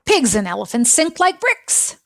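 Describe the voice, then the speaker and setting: A woman's voice: a little high, big pitch swings, moderate pace. A woman voicing a grumpy, fussy male pig in a storybook, speaking in curt, emphatic exclamations. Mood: dismissive.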